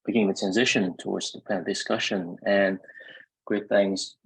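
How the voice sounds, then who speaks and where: A man's voice: low voice, fairly even intonation, moderate pace, vocal fry, slightly rough, low-quality recording. A man with a Vietnamese accent moderating an online panel, hesitant with many fillers. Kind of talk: webinar moderation.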